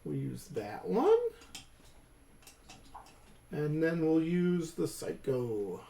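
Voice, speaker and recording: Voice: mid-range voice, animated, creaky, mellow. An American hobby host chatting casually and rambling, often talking to themselves while working at a table. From Western New York, United States. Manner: unhurried, rambling, talks to self while working. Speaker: co-host of a tabletop miniature painting channel. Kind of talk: casual rambling chat while painting miniatures. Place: indoors at a painting table. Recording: echoey room.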